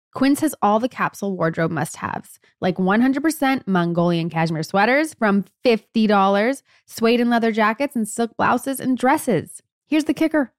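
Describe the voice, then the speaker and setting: A woman's voice: mid-range voice, no room sound, clean studio sound. A woman podcast host doing a casual, scripted sponsor read in a personal first-person style.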